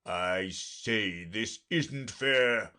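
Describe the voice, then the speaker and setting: A man voicing a dreamy, haughty, boastful unicorn from a storybook in a drawn-out, grand manner. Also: on the low side, bright, forceful tone, full character voice. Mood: indignant. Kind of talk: storybook character reading.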